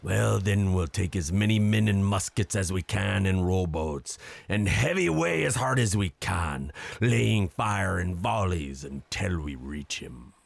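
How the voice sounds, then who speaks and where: A hearty old sea captain, for an audio adventure. The voice is deep and heavily gravelled, with slow, salty sailor speech. He sounds gruff, wary and loyal. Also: lively intonation, no echo, exaggerated voice. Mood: resolute. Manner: salty nautical dialect, booming exclamations. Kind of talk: cinematic audio adventure acting.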